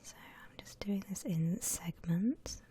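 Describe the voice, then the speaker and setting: A woman whispering breathily and gently as she hosts an unhurried ASMR art tutorial. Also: on the low side. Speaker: host of an ASMR drawing channel. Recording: faint background noise.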